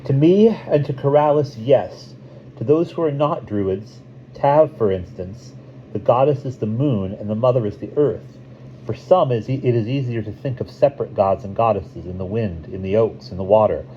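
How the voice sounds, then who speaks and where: A man's voice: medium pitch, normal speed, pitched above the performer's own voice, close to an everyday voice. A man voicing a serene, reverent druid in an audiobook. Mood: serene, explaining. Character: serene, reverent druid explaining beliefs in a novel. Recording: dull lo-fi recording, faint hiss.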